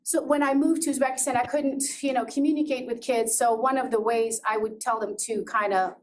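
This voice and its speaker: A woman's voice: a little high, steady tone, a little fast. A middle-aged woman from Azerbaijan, with Georgian as her first language, giving a candid personal talk to an audience, laughing often and restarting her sentences. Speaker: presenter. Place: presentation room. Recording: voice from far off with faint noise.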